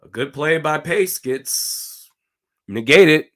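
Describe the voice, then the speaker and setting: A man with a slightly raspy, hoarse voice, giving casual live commentary on a football game and reading out viewer comments. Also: a little high, animated, takes it slowly, a bit bright. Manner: casual, talks to chat, reads comments aloud. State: hoarse from screaming. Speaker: watch party streamer.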